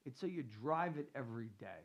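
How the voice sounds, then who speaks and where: A man's voice: medium pitch, lively intonation, a little fast, highs cut off. A man hosting a financial radio show, chatting loosely and joking with his co-hosts between promos and calls. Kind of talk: casual on-air banter and show promos.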